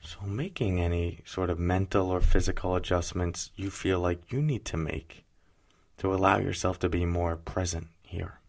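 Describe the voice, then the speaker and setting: A man with a notably deep voice leads a guided meditation. His delivery is soothing and unhurried, with gentle pauses, and a pronounced vocal fry runs through his speech.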